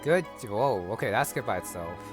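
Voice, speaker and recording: A man's voice: on the low side, animated, normal speed, music in the background. A man giving casual let's-play commentary, muttering wry asides to himself. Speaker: gaming channel host.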